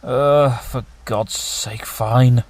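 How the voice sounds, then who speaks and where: An actor playing a wry, easygoing but grumbling survivor with a husky voice in an audio drama. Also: male voice, medium pitch, steady tone, extremely slow, a little mellow, no room sound. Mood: grumbling, reluctant.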